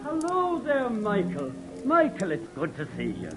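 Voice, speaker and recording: A man acting a smooth, pushy, sociable insurance man in a 1940s radio drama, speaking with oily, ingratiating politeness. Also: high voice, wildly swooping pitch, unhurried, heavily acted. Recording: old radio broadcast with music behind.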